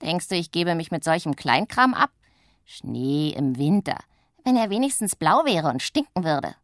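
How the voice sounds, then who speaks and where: A woman voicing a loud, bossy and mischievous witch who talks in a gruff, scolding way, with a breathy voice. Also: medium pitch, very animated, normal speed, no room sound, clean studio sound. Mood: scoffing.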